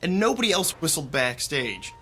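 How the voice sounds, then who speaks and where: An affable male student stage technician in a radio drama. His voice is fairly high and very bright, easygoing and explanatory, with faint music underneath. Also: big pitch swings, normal speed, heavily acted. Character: helpful, affable male college theater technician. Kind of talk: naturalistic radio drama dialogue.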